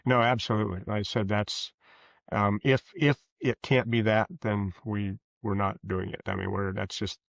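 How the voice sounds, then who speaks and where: A man answering interview questions as a guest author, conversational, with rambling, halting explanations. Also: fairly low pitch, flat delivery, gravelly, dry recording. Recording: dull, lo-fi sound.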